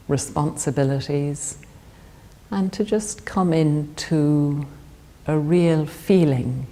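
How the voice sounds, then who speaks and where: A woman leading a guided meditation in a calm, gentle, soothing manner. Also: low-pitched. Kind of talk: guided body scan meditation.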